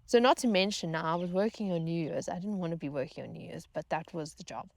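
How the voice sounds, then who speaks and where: A woman's voice: on the low side, fast, vocal fry, dry recording. Dramatic woman telling a casual personal story. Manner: dramatic, self-mocking, uses repetition for emphasis. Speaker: storytelling vlogger and former yacht crew member.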